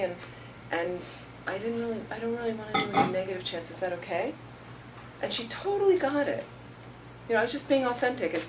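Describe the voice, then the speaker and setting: A middle-aged woman giving an informal Buddhist talk, telling stories in a conversational way and acting out what people said. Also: on the high side. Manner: conversational, storytelling with acted-out quotes. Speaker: Buddhist teacher. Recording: distant mic with faint room noise.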